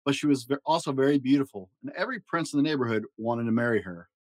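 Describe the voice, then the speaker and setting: Guy reading a kids' storybook, gentle, close dry mic, middle pitch. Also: lively intonation, fast, dry recording. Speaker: storybook reader. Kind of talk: reading a children's story aloud.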